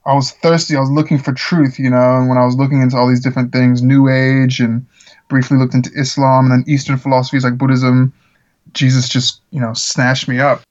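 Man, musician guest, from the Czech Republic, earnest, rambling, many fillers, mid pitch, slightly dull lo-fi audio. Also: flat delivery, brisk, darkish tone. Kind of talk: casual interview talk.

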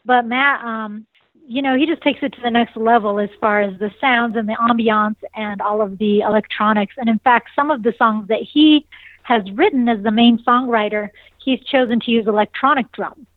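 A woman's voice: on the high side, fairly even intonation, moderate pace, no room sound. A woman in her forties with a nasal voice, a singer and saxophonist answering interview questions in a bubbly way and laughing often. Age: 40s. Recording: dry, tinny phone line.

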